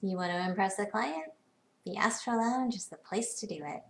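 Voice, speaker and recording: A creaky-voiced, worldly businesswoman. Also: mid-range voice, animated, moderate pace. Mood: assured. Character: poised, worldly female corporate business professional. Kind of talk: video game dialogue lines.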